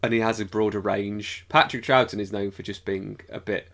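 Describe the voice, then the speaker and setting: A man's voice: fairly low pitch, steady tone, moderate pace, creaky, a bit bright. A man co-hosting a podcast, chatting casually about a TV show and its fandom in a playful, wry manner. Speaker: podcast co-host.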